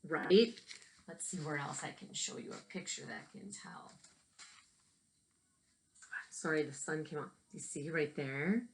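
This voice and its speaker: A woman reading a picture book aloud to children, pausing to explain and ask them questions. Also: lowish voice, a little hiss or hum, rough recording. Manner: questioning, explains to young listeners. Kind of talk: read-aloud storytelling with asides and questions to children.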